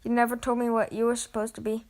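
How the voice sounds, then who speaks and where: A woman reading the quoted lines of an ancient inhuman male creature, slow and purring, in her scratchy voice. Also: on the high side, monotone, a little mellow, natural voice. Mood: cold. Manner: slow, purring, hissing delivery.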